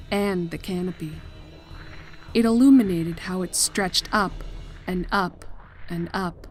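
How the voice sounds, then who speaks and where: Slow, measured woman narrating horror fiction. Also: mid-range voice, a bit bright. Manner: measured, deliberate. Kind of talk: scripted dramatic horror story narration.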